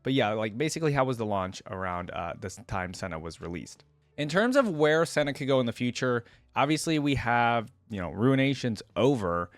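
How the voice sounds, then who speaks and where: A man's voice: mid-range voice, sing-song, brisk, no echo. A young man giving casual, off-the-cuff reaction commentary as a video host, informal and rambling. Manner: informal, rambling, tags points with "right?".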